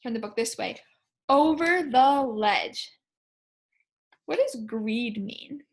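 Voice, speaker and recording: A woman teacher reading a picture book aloud to children, expressively, pausing to ask questions and explain things simply. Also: fairly high pitch, lively intonation. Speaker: primary school teacher.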